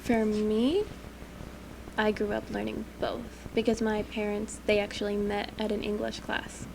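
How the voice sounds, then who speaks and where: A young woman co-hosting a student podcast in casual chat, supportive and quick with agreeing interjections. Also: medium pitch, level tone, gravelly. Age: young adult. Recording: faint background noise.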